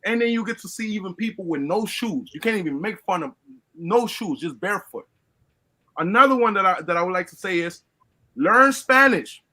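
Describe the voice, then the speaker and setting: A man from Colombia speaks in a high voice with a bright, forceful edge. He is a guest on a live stream chat and talks in an earnest, lecturing way, tripping over his restarts as he pushes through. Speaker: guest caller. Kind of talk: casual opinion talk.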